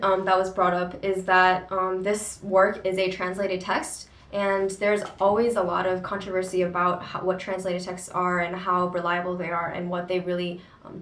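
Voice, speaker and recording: Low-voiced young woman, set back from the mic. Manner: thoughtful, hesitant, many pauses. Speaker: co-host of a book discussion channel. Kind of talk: casual book discussion. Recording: echoey room, distant mic.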